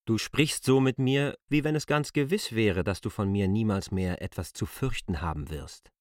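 An actor playing K, a stern and scheming land surveyor, threatening in a cold, carefully reasoned way in a radio play. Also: male voice, lowish voice, moderate pace, a little mellow, no room sound, clean studio sound, lightly acted. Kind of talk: German radio play acting.

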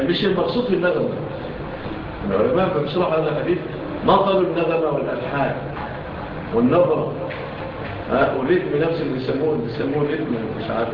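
A man teaching a religious lesson, mixing formal with colloquial asides and rhetorical questions. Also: a little high. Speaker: Islamic teacher explaining hadith. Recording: echoey distant dull recording with noise.

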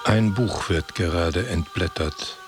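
Very deep, slow, ancient book guardian. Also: male voice, music in the background. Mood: grave, cryptic. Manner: slow, cryptic, solemn answers. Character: ancient wandering guardian of a book, cryptic and grave. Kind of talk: poetic radio drama dialogue.

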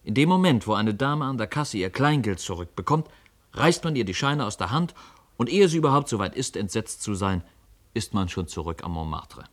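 Male narrator, literary radio play: deep register, scripted storytelling. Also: sing-song, normal speed.

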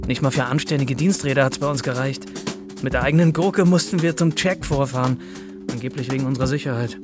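A man's voice: medium pitch, fast talker, music in the background, natural voice. An actor playing a griping male bicycle courier, narrating a radio drama in a sardonic, slangy, colloquial way. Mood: wry, griping.

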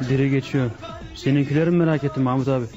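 A man's voice: medium pitch, fairly even intonation, racing, close to an everyday voice. An actor playing an eager, loyal henchman answering to his gang boss in a Turkish radio drama. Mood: reassuring. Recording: muffled radio recording over music.

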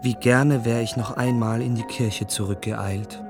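An actor in a radio play voicing an earnest, bewildered young gentleman given to brooding, literary inner monologue. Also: mid-range voice, fairly even intonation, measured pace, music in the background, lightly acted. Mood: brooding, searching. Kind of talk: German radio play acting.

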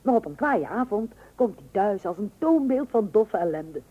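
A woman's voice: mid-range voice, big pitch swings, normal speed, husky. An actress playing a chatty, coaxing housewife and mother in an old radio comedy. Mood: wry narrating. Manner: eager, wheedling, hesitant interjections. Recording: dull, muffled old radio recording.

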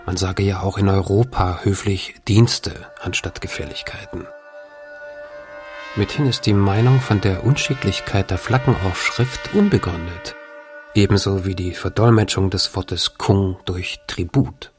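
Low-voiced, formal historical chronicler. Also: male voice. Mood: formal, measured. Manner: formal, measured, old-fashioned wording. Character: formal 18th-century European travel chronicler, man, dignified. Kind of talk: literary reading of a historical travel account. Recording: music behind the voice. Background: music.

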